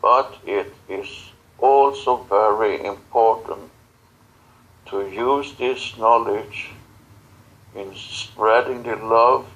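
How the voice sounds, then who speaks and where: Slow, halting man channeling a spiritual message. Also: on the low side, steady tone, a bit bright, low-quality recording. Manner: halting, solemn, broken phrasing. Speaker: channeler in guided visualization session.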